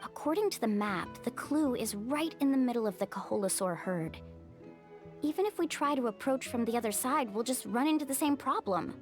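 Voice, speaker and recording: A woman's voice: fairly high pitch, expressive, a little fast, close to an everyday voice. A woman voicing a cheerful young woman who guides her group and takes the lead. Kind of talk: game voice acting. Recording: game audio with faint music.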